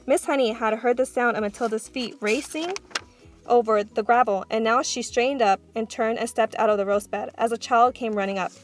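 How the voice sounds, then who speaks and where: A woman narrating a children's book aloud in an expressive storytelling style. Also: fairly high pitch, brisk, faint music behind.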